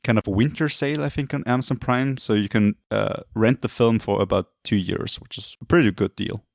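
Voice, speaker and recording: A slightly hoarse man co-hosting a podcast, talking in a rambling, hesitant way and often correcting himself. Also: on the low side, fairly quick, no room sound, studio quality. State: losing his voice, throat clearing. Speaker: podcast co-host. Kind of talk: casual chat.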